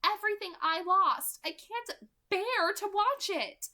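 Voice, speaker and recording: A woman's voice: very high-pitched, takes it slowly, pushed and bright, voice pushed up, exaggerated voice. A woman voicing a proud, wounded young immortal woman in a haughty, emphatic way for a story reading. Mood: anguished, regretful.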